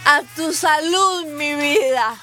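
A devout, loving mother with a high voice and a slow, emotional delivery. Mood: tender, wistful. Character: tender, devout mother celebrating and praying alone for her faraway son. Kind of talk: radio drama acting. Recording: music behind the voice.

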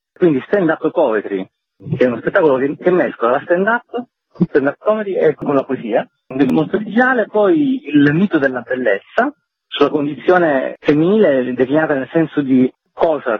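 A man's voice: on the high side, husky. A man giving explanatory answers as an interview guest, a festival's artistic director. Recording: tinny phone-like line, roomy echo.

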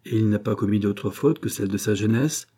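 A man with a husky voice reading the part of a king, asking questions in a measured, authoritative way. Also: fairly low pitch, warm tone. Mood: stern.

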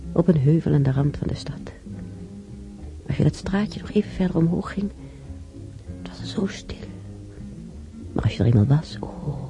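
Dreamy old lady in a radio play, real slow, halting, music behind her. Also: low voice, gravelly. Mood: wistful. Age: older. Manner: halting, musing, with sighs and whispers. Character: elderly widow, bedridden, wistfully reminiscing about Rio. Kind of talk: radio drama monologue.

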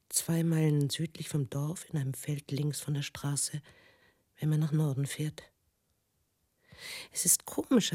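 An actress playing a grieving, haunted woman who recalls memories in a measured, subdued monologue for a radio play. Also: deep voice, warm tone, husky.

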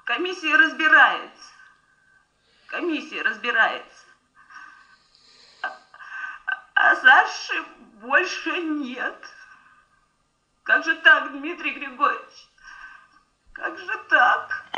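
An actress playing a distraught young female lab engineer, halting and deferential, in a muffled, echoey 1970 Soviet radio play recording. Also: high-pitched, animated, bright, forceful tone, exaggerated voice.

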